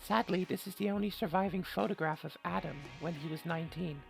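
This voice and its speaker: A player reads as an earnest, uneasy young woman telling her own mystery story in a visual novel. The voice is very creaky and gravelly, with faint music underneath. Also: mid-range voice, fairly quick. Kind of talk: scripted first-person visual novel narration.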